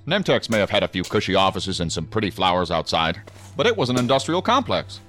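A commanding middle-aged boss of federal agents with a low voice. He speaks formally and with clipped authority, as in a sci-fi audio drama, with music clearly underneath. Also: sing-song, fast talker, a bit bright. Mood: stern, official. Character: stern, commanding middle-aged male federal agency superior. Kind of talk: science fiction audio drama acting.

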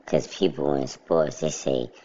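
A man with an extremely deep, gravelly voice talks slowly and evenly in a relaxed monologue. His delivery is plainspoken and level, close to a monotone. The recording sounds dull and lo-fi. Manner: steady, plainspoken, self-described monotone. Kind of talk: casual monologue to camera.

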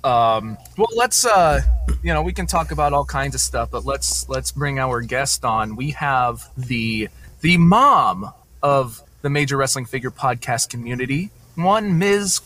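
Bright-voiced man hosting a podcast. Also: medium pitch, lively intonation, measured pace, soft background music. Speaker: podcast co-host and ring announcer. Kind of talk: casual podcast chat.

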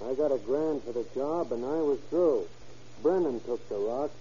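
Surly small-time crook played by a man, very dark muted tone, tough street slang, muffled vintage radio crime drama. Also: mid-range voice, normal speed, slight background noise, natural voice. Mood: apologetic. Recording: muffled old radio broadcast.

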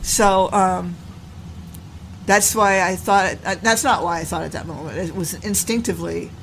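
A woman's voice: on the low side, moderate pace, creaky, fairly bright tone, poor audio. Older woman, reflective, searching for words. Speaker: stepmother telling her past. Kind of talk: casual conversational interview. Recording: noticeable background noise.

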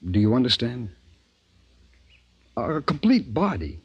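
An actor playing an anguished, secretive doctor in a 1950s radio drama, speaking haltingly and repeating himself. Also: male voice, mid-range voice, wildly swooping pitch, breathy edge, heavily acted. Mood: intense, fearful. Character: anguished, secretive doctor confessing a forbidden experiment. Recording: noisy 1950s radio broadcast recording.

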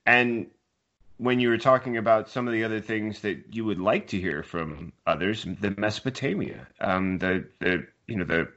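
Low-voiced male interviewer, lo-fi audio. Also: normal speed, a bit bright. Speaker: interview host. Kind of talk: casual remote interview.

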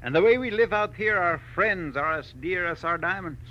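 An actor playing a kindly, rueful older man, deliberate and folksy, in a 1940s radio drama. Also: high voice, natural voice. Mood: rueful, hospitable. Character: kindly older male diamond-mine boss, rueful and fatherly. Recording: muffled, faintly noisy old radio recording.

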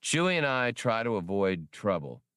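A cocky, brash smuggler pilot, played by a man reading the book aloud. He speaks low and lively, his pitch moving freely as he haggles and boasts. The recording is close and dry. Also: normal speed, clean tone, no echo. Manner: brash, bargaining.

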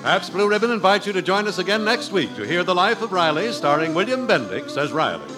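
A man's voice: high-pitched, sing-song, a little fast. A man with an American accent announcing and selling on 1940s radio in a hearty, emphatic style. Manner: hearty, emphatic sales delivery. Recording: vintage radio broadcast recording. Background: music.

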